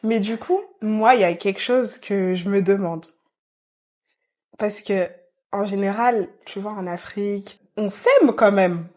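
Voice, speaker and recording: A woman's voice: medium pitch, a little slow, dark tone. A woman hosting a casual chat with a guest, easygoing and talkative. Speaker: host.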